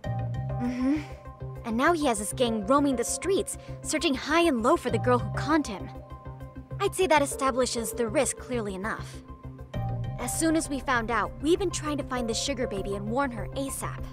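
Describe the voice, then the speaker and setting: A woman's voice: high voice, expressive, normal speed. A woman playing a self-important teenage schoolgirl who leads a detective club in a video game dub, with pompous, precise, dramatic phrasing. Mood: serious. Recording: music under the dialogue. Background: music.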